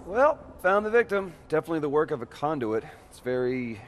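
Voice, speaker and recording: Cocky young American guy, highish voice. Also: big pitch swings, a little fast, slight background noise. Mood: wisecracking. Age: young adult. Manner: sarcastic, flippant. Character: cocky young superpowered man, wisecracking and brash. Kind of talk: video game voice acting. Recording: music and street sounds behind.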